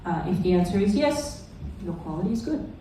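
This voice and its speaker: Low-voiced woman in a very echoey room. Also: sing-song, a little fast, mellow. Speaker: conference panelist, globalization director. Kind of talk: informal panel talk. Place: indoor conference room. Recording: echoey room, distant mic, faint noise.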